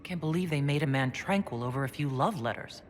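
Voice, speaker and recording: An actor playing a composed male leader in a fantasy video game, talking calmly and directly. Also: a little high, brisk, a little hiss or hum, natural voice. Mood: thoughtful. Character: composed male hero leading a fantasy inquisition. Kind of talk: dramatic video game dialogue.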